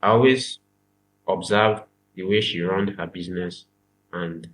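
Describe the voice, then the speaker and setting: Earnest young Nigerian man, low voice. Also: a little slow, slight background noise, poor audio. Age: young adult. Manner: earnest, repeats phrases, emphatic. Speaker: podcast guest. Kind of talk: casual interview chat.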